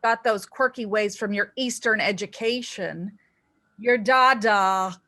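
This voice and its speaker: An actress in a staged play reading, playing a reproachful dead mother who loves golf, speaking deliberately. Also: mid-range voice, sharp tone. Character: narrator's dead mother, scolding golf-loving elderly housewife ghost.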